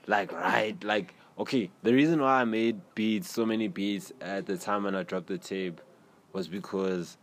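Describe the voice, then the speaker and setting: A young South African man with a South African accent, hosting a podcast and talking in a relaxed, rambling way full of slang. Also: lowish voice, normal speed. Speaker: podcast host. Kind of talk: casual interview chat. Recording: faint background noise.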